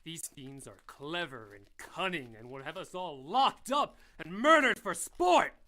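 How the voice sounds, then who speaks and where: Pompous, wildly swooping young crusader. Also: male voice, fairly high pitch, sing-song, measured pace, pushed and bright, full character voice. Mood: outraged. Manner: grandiose archaic oratory. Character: pompous, fiery young male crusader rallying his followers. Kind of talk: audio drama acting.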